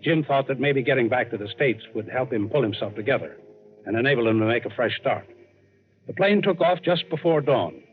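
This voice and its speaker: An actor playing an adventurer host, narrating a scripted yarn in an old-time radio drama. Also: male voice, medium pitch, animated, fairly quick, lightly acted. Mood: storytelling. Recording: tinny old radio recording. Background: dramatic underscore music.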